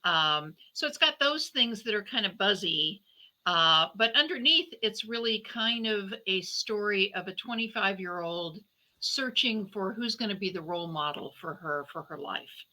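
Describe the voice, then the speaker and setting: Sharp-bright woman novelist being interviewed. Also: mid-range voice, lively intonation, normal speed, poor audio. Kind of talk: conversational interview answers, explaining.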